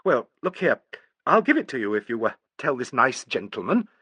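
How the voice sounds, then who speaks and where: A man voicing a reluctant, awkward young gentleman trying to coax a child. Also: medium pitch, very animated, normal speed, breathy edge, no room sound, full character voice. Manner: awkward, coaxing.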